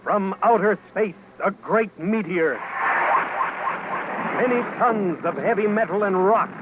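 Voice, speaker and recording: A man reads dramatic scripted documentary narration with a solemn, portentous and deliberate delivery, as on a vintage radio broadcast. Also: high-pitched, muffled recording, heavy background noise. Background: loud background music.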